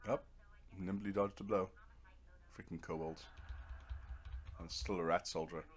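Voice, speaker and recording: A man's voice: deep voice, fairly even intonation, a little slow. A man giving casual, rambling commentary over a video game, with a dry, self-deprecating sense of humor. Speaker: video game let's player.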